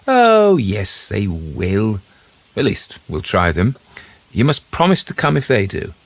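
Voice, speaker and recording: Man putting on a lifted, brisk young Englishwoman. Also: lowish voice, sing-song, pitched above the performer's own voice, full character voice. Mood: doubtful, yielding.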